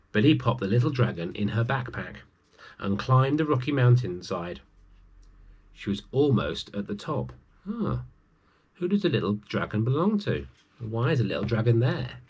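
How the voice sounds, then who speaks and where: A man's voice: medium pitch, sing-song, moderate pace, darkish tone, breathy edge. A man in his forties reads a children's story aloud, adding chatty asides to his young listeners. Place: small room at home with bookshelves.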